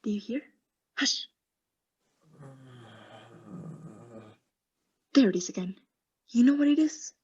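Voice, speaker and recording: A woman playing an obsessed mad murderer in a theatrical radio play, confessing intensely in hushed whispers and frantic outbursts. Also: a little high, animated, fairly quick, slightly rough, muffled recording. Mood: haunted, intense.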